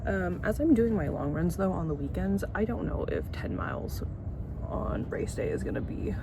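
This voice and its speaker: A woman's voice: fairly low pitch, huge pitch swings, moderate pace, creaky. A young woman vlogging in a casual, chatty way, filling her talk with "like" and "um". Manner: chatty, many fillers like "like" and "um". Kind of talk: casual vlog chat. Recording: noisy with traffic sounds.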